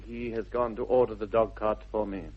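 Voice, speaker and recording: A man playing a charming, flippant young upper-class English gentleman with an airy theatrical manner in a radio comedy. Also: on the low side, little pitch movement, a little fast, slightly rough. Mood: romantic. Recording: dull 1960s radio recording.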